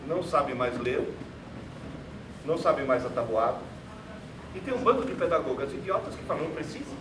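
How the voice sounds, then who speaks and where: Man, high voice, theatrical and sarcastic lecturer, distant mic in an echoey auditorium, noisy recording. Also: expressive, fairly quick. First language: not Portuguese. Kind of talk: motivational lecture to an audience.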